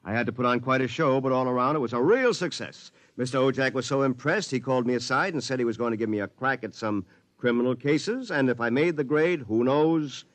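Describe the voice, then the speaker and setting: An actor playing a smug, smooth-talking, cocky man with a scheming streak, in 1950s radio drama style. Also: mid-range voice, a little fast, no echo, natural voice. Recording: 1950s radio broadcast with music.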